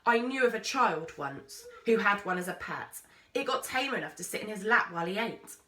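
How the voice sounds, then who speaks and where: A woman's voice: medium pitch, lively intonation, moderate pace, sharp tone, distant mic. A woman voicing a brusque, wry explorer man with a commanding, matter-of-fact manner in a storybook. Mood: mild. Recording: roomy, echoey sound.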